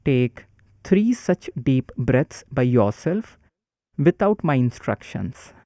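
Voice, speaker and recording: Male meditation guide, warm dark tone, unhurried calm instruction. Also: mid-range voice, dry recording, studio quality.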